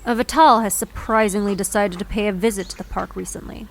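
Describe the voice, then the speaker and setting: A woman playing a gruff, dismissive guardian creature in an audio drama, curt and challenging. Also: medium pitch, fairly even intonation, fast talker, a little hiss or hum, lightly acted. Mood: taunting.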